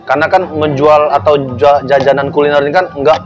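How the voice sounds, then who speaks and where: A man's voice: on the high side, flat delivery, lo-fi sound. A man hosting a casual food-tasting interview, chatty and joking. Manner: chatty, joking, lots of quick backchannel. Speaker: food show host. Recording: music playing behind.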